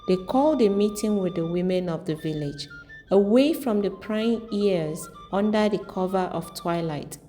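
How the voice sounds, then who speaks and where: Lady telling a folktale, soft mellow voice, big reverb and music under it. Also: mid-range voice, expressive, normal speed. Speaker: storyteller. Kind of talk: scripted folktale narration.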